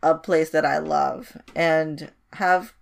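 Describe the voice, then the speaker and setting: A woman's voice: sits low, steady tone, takes it slowly, vocal fry. A woman from Indonesia co-hosting a podcast, chatting informally and repeating words for emphasis. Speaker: podcast co-host. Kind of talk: casual conversational chat.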